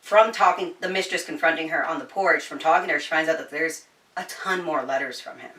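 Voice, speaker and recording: A woman chatting informally through a book recap, slangy and sweary, telling it like an animated storyteller. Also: low voice, brisk, recorded from a distance. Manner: animated storyteller, voicing quoted dialogue. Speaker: book reviewer. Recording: echoey room, somewhat off the mic.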